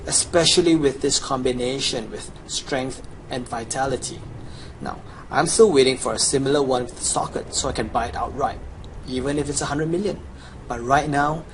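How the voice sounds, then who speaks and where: A man's voice: medium pitch, slight background noise, poor audio. A young man with a Chinese accent, boasting through a promotional gameplay voice-over as he lists his gear and stats. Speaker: gamer promoting a gold guide.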